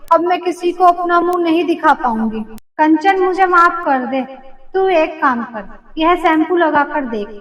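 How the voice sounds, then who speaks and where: A woman's voice: extremely high pitch, a bit bright. A woman voicing young female characters in a cartoon fairy tale, in a theatrical, exclamatory way. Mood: upset. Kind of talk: Hindi cartoon story voice acting. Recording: echoey, distant-sounding voice track.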